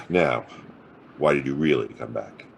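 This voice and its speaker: Noir private eye, extremely low voice, husky, swinging intonation, sardonic and world-weary, radio drama. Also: male voice, animated, unhurried, heavily acted. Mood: probing, wry. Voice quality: gravelly, husky. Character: hard-boiled, wisecracking male private detective. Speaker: actor playing the lead detective and narrator. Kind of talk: noir radio drama acting and first-person narration.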